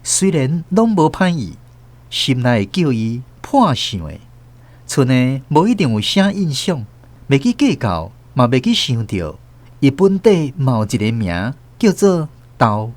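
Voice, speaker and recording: A man with a Taiwanese accent narrating a radio novel in an expressive storytelling manner. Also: mid-range voice, unhurried. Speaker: narrator and host.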